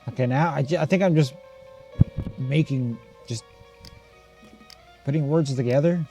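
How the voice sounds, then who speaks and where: A man playing a game on a recording, reading its text aloud and thinking out loud with some hesitation. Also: mid-range voice, a little slow, faint music behind. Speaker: gamer reading and reacting to a visual novel.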